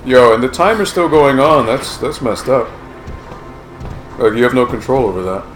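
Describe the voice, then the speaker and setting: A man with a monotone voice chatting casually as a live stream co-host, reading out chat and commenting on a game. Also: mid-range voice, normal speed, soft background music. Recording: roomy, noisy live-stream room.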